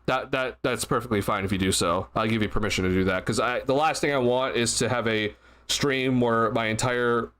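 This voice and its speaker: A man's voice: mid-range voice, steady tone, brisk, fairly bright tone. Tired young man streaming sports talk. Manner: rambling, many fillers and restarts. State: tired, slightly stuffy. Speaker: sports livestream host. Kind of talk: casual postgame livestream chat. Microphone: desk mic at a streaming setup.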